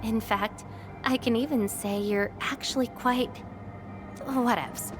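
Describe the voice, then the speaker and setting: A woman voicing an aloof young female swordfighter in a video game, teasing and quietly melancholy, with hesitant pauses. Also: a little high, steady tone, music in the background. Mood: cool, teasing.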